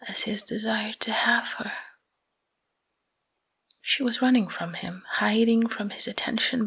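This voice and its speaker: A woman whispering a bedtime story in ASMR style, hushed and breathy, in short phrases with pauses, close to the microphone. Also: mid-range voice.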